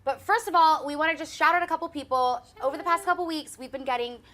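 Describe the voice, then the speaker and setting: A woman's voice: high-pitched, quick pace, fairly bright tone. A young woman in her twenties hosting a casual fan chat show, chatty and giggly, with lots of "um" and "like". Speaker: host.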